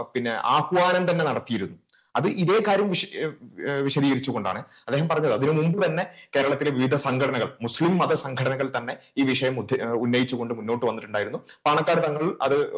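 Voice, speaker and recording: A man speaking as a panelist in a TV news debate, explaining his argument step by step. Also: mid-range voice.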